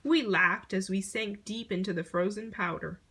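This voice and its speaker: A woman reading a children's picture book aloud in an expressive storytelling manner. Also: mid-range voice, normal speed, a little hiss or hum. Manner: expressive storytelling, acting out short exclamations.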